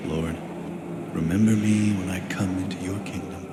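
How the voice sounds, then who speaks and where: A man's voice: deep voice, steady tone, normal speed, close to an everyday voice. An actor playing a devout, brooding video game hero in an everyday male voice, solemn and searching. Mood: solemn prayer. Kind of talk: video game character monologue. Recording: clear rain and ambient noise behind.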